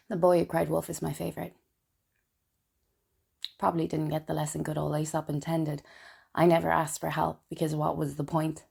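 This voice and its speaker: A woman acting a melancholy, wryly funny and tipsy character in an intimate, halting theatrical monologue. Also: fairly low pitch, creaky, darkish tone, slightly rough, full character voice. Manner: halting, intimate, dramatic pauses. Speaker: stage actor performing a solo monologue. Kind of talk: confessional theatrical monologue, spoken as a letter.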